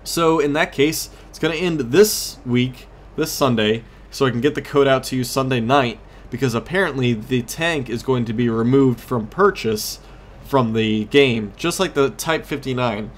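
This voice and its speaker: A man's voice: medium pitch, normal speed, slight background noise. A man giving casual, rambling gameplay commentary as host of a gaming series, often losing his train of thought.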